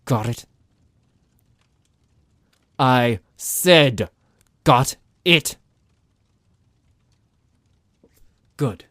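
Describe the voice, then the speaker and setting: A man's voice: medium pitch, animated, very slow, fairly bright tone, no room sound, studio quality. A man playing a smug, psychotic vampire doctor in an ASMR roleplay, condescending, theatrical and menacing toward his captive. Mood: angry, threatening.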